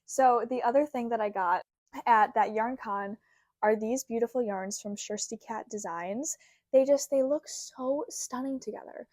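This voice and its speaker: A woman's voice: on the high side, measured pace, a little mellow, dry recording. Bubbly young woman chatting over a dry mic. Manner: bubbly and rambling, heavy use of "like". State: getting over a cold, recovering from a sore throat. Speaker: crochet content creator. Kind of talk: casual chatty vlog. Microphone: small clip-on mic.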